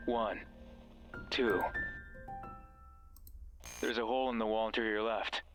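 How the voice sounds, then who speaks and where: A man's voice: fairly low pitch, fairly even intonation, takes it slowly, music in the background. An actor playing a mysterious, bossy male guide in a horror game, stern, ominous and condescending. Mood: ominous, commanding. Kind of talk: horror visual novel voice acting.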